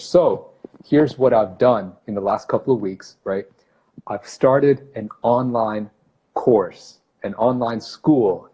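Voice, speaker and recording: Mellow-voiced man chatting on a phone livestream. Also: medium pitch, breathy edge, low-quality recording. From Brunei. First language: not English. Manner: chatty, self-correcting, greets viewers by name. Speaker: English teacher hosting a livestream. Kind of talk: casual livestream talk to viewers. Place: small office room indoors. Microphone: phone camera at arm's length.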